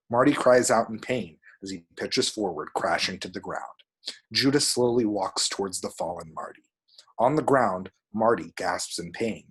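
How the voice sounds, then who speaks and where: A man's voice: on the low side, a bit bright, husky, rough recording. A man reading screenplay action descriptions aloud as dramatic, vivid narration at a table read.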